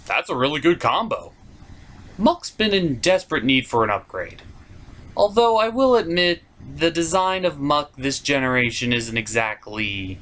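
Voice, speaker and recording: A man with a mid-range voice and very lively, swooping intonation presents a countdown. His delivery is casual and opinionated, sprinkled with wry, informal asides. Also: medium pitch. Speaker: host of a top ten list show.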